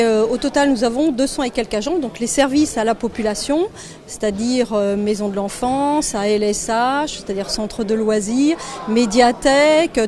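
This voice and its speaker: Higher-voiced woman explaining, often hesitating. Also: darkish tone. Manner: hesitant, explanatory, listing item by item. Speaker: local government administrator being interviewed. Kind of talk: spontaneous interview answers.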